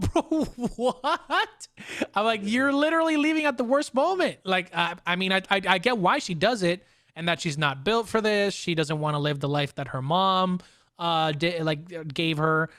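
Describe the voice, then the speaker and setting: A man hosting a casual pop-culture discussion, talking haltingly and often repeating his words. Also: high voice, a bit bright, no room sound, clean studio sound. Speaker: podcast host.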